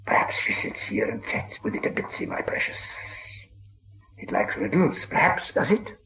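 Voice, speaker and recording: A man's voice: on the high side, sing-song, normal speed, creaky, sharp tone, pitched above the performer's own voice, exaggerated voice, rough recording. A man voicing a sly, hissing cave creature who whispers and wheedles as he talks to himself. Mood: wheedling.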